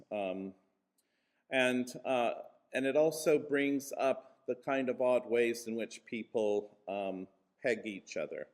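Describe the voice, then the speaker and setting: A mature man with an American accent giving an informal, reflective conference address, telling personal stories in measured phrases with pauses for emphasis. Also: mid-range voice. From Kansas, USA. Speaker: church moderator, guest speaker. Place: conference venue.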